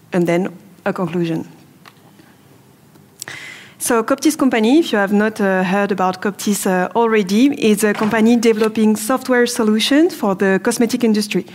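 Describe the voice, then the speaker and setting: French-accented woman doing a trade fair presentation on a mic, methodical and explanatory. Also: medium pitch. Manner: explanatory, steady, methodical walkthrough. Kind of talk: product presentation talk.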